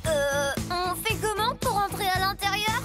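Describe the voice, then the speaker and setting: Very high-pitched, eager little kid truck. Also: female voice, animated, unhurried. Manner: childlike, exclamatory. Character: eager, plucky little child mini-truck. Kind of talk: children's cartoon acting. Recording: loud music under the voice.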